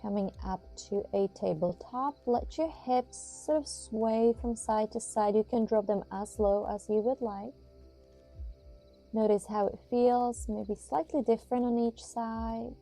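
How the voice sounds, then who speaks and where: Very mellow, soft-toned woman guiding yoga. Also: on the high side, steady tone, vocal fry. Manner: soothing, measured instruction with pauses. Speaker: yoga instructor and host. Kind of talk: guided yoga and meditation instruction. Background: music.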